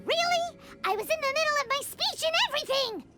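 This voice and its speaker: Tiny, very high-pitched bossy fairy companion. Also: female voice, expressive, a little fast. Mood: indignant, defiant. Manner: speaks of herself in the third person, indignant. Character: tiny childlike floating fairy companion, perky, bossy, cheeky. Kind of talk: video game voice acting. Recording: loud music bed behind voice.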